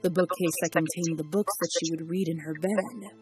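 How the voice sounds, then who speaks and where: A narrator reading a fanfic audiobook in a steady, measured way, with soft music behind. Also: mid-range voice.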